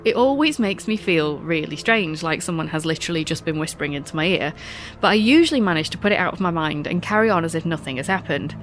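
A woman's voice: sits low, animated, fast, a little hiss or hum. A woman hosts her podcast, telling stories in a wry, self-mocking way, her nose stuffed up from a recent illness. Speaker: podcast host. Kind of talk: scripted podcast monologue.

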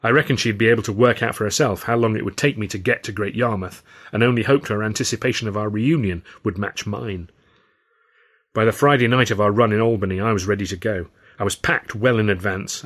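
A man's voice: on the low side, quick pace, a bit bright. A man reading his own novel aloud as a scripted, first-person audiobook narration.

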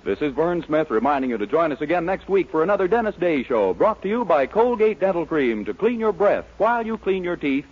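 Lively American male 1940s radio announcer. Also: fairly high pitch, a little fast, few pauses, slightly rough, soft background music. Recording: dull, lo-fi 1940s radio recording.

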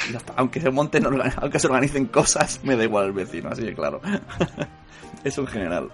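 A man's voice: medium pitch, fairly quick, soft background music. Laughing, teasing man leading a chat. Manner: joking, laughs often, teasing. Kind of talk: casual roundtable chat.

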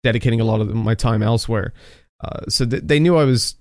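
A man's voice: mid-range voice, quick pace, no echo, studio quality. A young man from Ireland, an esports caster with a mixed, neutral accent, chatting casually and self-deprecatingly in an interview, often restarting his sentences. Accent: mixed, neutral Irish-American.

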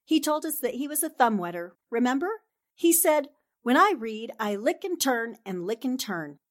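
Casting: actress for sharp, self-assured newspaperwoman, higher register, vintage radio-play delivery. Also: big pitch swings, normal speed. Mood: confident, explanatory. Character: sharp, confident newspaperwoman explaining a murder plot. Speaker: voice actor in an amateur radio drama. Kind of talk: 1940s radio drama acting.

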